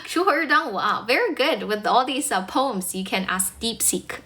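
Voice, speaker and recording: A young Chinese woman hosts a casual English livestream, talking fast and laughing often. Her voice is bright and tense, and her English carries a North American tinge. She slips Chinese phrases in between her English. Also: fairly high pitch, expressive. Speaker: English-speaking livestream host. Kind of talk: casual livestream chat with viewers.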